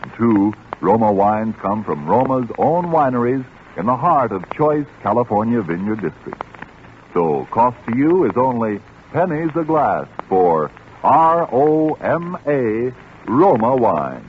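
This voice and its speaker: Tinny, lively 1940s American radio adman. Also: medium pitch, unhurried, slight background noise. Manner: polished, formal salesmanship. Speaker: radio commercial announcer. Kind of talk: 1940s radio advertising read. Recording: tinny, band-limited 1940s radio recording.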